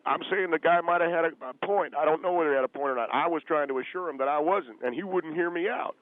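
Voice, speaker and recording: A man voicing a defensive, humorless radio caller who argues in a halting, earnest, indignant way over a telephone line. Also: fairly high pitch, no echo, pitched above the performer's own voice. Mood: defensive, aggrieved. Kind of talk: comedic phone-in radio act. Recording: tinny, band-limited phone line.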